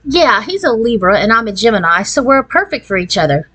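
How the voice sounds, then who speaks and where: A woman's voice: fairly high pitch, very animated, brisk, a bit bright. A woman voicing a trendy, self-assured young girl from California in a children's storybook, breezy and casually boastful.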